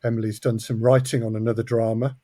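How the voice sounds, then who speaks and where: A man's voice: mid-range voice, little pitch movement, fast talker, dark tone, no echo, clean studio sound. A man talking conversationally as an interview guest. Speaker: guest, audio drama co-creator and director.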